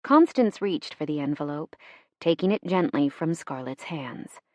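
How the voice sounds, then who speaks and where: A woman reading fiction as an audiobook narrator in an expressive, measured, dramatic storytelling style. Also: low voice, no room sound.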